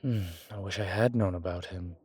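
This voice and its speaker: A man playing an old, vain, genteel vampire in an intimate audio drama, speaking formally and theatrically with hesitant pauses. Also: deep voice, fairly even intonation, darkish tone, husky. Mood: rueful, hesitant.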